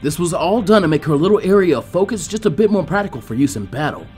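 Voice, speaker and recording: Guy narrating a story, kinda high voice, really quick pace, faint music behind. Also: animated, a little mellow. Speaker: fan fiction reader. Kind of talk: animated scripted story narration.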